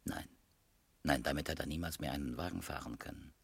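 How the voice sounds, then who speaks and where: An actor playing a measured, sceptical senior police detective in a radio crime drama from the 1970s. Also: male voice, very deep voice, vocal fry, fairly bright tone, exaggerated voice. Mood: measured, probing.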